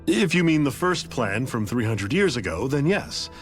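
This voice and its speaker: A man plays an eccentric scientist in a game cutscene. His pitch swoops freely as he lectures, theatrical yet casually detached. Music plays softly behind him. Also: very animated, moderate pace, close to an everyday voice. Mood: smug explaining. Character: eccentric, blithe male scientist, coolly explaining a grand scheme. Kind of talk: scripted video game voice acting.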